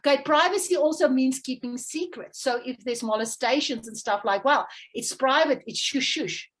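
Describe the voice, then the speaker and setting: High-voiced Afrikaans-woman coach. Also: moderate pace. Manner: warm storytelling, laughs often, checks in with "okay". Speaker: parenting coach. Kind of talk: interview guest explaining.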